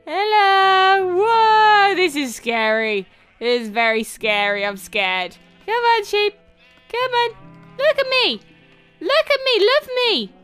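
Very high-pitched playful woman gamer. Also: takes it slowly, fairly bright tone, soft background music. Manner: playful, sing-song baby talk to game animals. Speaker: gaming co-host. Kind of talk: playful casual gameplay chat.

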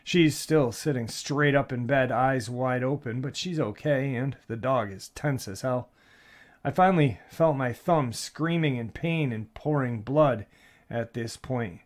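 A man calmly reading out listeners' first-person stories of frightening encounters. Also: mid-range voice. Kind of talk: steady storytelling narration.

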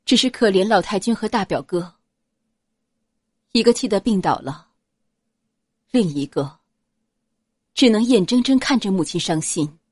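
A woman voicing a gentle, shrewd young noble girl in an audiobook, soft-spoken, measured and persuasive. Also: mid-range voice, lively intonation, husky, no echo, studio quality. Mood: gentle persuasion. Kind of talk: audiobook character acting.

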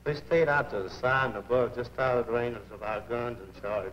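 A man's voice: mid-range voice, brisk. A man giving an airman's report in a military debriefing. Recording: dull 1940s film with faint noise.

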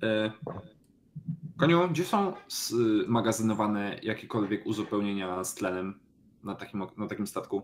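Low-voiced man at a tabletop game. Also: normal speed, a little hiss or hum. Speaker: player in a tabletop role-playing game. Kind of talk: in-character tabletop game discussion.

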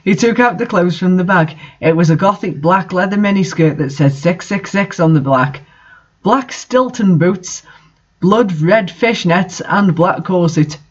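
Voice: medium pitch, unhurried, dull audio. A host reading fan fiction aloud in an amateur dramatic style, with the odd aside.

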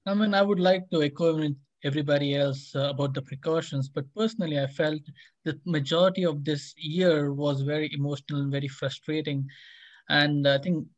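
A man's voice: on the high side, fairly even intonation, fairly quick, no echo, highs cut off. A man speaking as a physician on a video call, somber and reflective. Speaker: nephrologist. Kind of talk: panel discussion.

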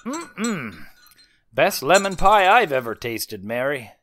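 A man's voice: a little high, sing-song, slow, fairly bright tone, slight background noise, full character voice. An actor playing a hearty, jovial male dinner guest in an old-time radio mystery.

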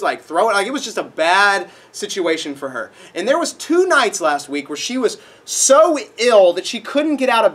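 A young man preaching a sermon in a dark auditorium, a little nasal from a cold, animated and acting out voices in his stories. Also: high voice. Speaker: pastor. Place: dark auditorium with projected screen.

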